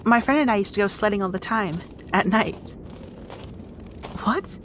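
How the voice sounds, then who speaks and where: A woman's voice: mid-range voice, normal speed, slight background noise, natural voice. A woman playing a brusque, guarded young rat princess in an audio roleplay drama, bossy and conversational. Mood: casual, amused.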